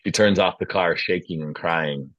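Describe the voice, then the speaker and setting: Male narrator for a screenplay table read: low register, dry close sound, reads action and scene headings. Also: moderate pace, fairly bright tone, no room sound, dull audio. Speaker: stage directions reader.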